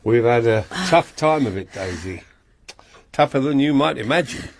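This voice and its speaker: A man's voice: medium pitch, animated, moderate pace, slight background noise, close to an everyday voice. An actor playing a genial middle-aged husband and landlord who teases his wife fondly, in a period radio drama. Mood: concerned. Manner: hearty and fond, teasing his wife.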